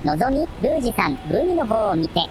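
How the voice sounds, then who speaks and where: A synthetic female voice playing a calm, matter-of-fact AI assistant in a radio drama, reporting in clipped phrases. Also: on the high side, moderate pace, faint music behind.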